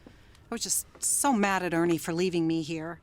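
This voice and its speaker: An actress playing Jerry, a troubled, wistful, newly sober wife in a 1930s radio drama. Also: female voice, lowish voice, moderate pace, darkish tone, close to an everyday voice. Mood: chatty, wry.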